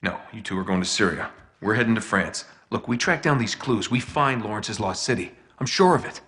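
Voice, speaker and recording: A man's voice: fairly low pitch, brisk, fairly bright tone, lightly acted. A man playing a confident, eager treasure hunter in a game cutscene, talking conversationally as he explains things. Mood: confident, persuasive. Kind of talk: naturalistic video game cutscene acting. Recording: game music behind the voice.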